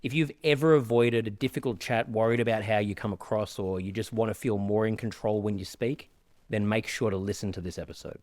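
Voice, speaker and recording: A man hosting a podcast, reading an earnest, measured introduction and then chatting with his guest. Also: lowish voice, steady tone, darkish tone, a little hiss or hum. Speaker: podcast host. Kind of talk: scripted podcast intro, then casual interview.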